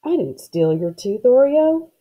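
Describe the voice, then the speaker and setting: A woman's voice: a little high, sing-song, measured pace, highs cut off, voice pushed up. A woman voicing an ornery young country boy in a storybook, a teasing know-it-all with a matter-of-fact, folksy manner. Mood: cool denial.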